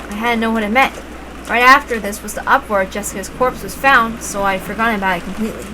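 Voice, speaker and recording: A woman reading a visual novel aloud as expressive first-person story narration, with emphatic openings to phrases. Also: mid-range voice. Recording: noticeable background noise.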